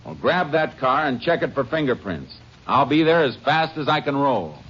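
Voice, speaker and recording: An actor playing a determined Texas Ranger who narrates in a terse, clipped first-person style, in a 1950s radio drama. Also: male voice, medium pitch, big pitch swings, moderate pace, heavy background noise, close to an everyday voice. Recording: dull old radio broadcast with music.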